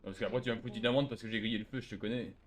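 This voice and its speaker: A man's voice: on the low side, steady tone, racing. Argumentative, slangy man gaming. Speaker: game streamer trolling in a roleplay server. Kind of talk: casual gaming banter in roleplay.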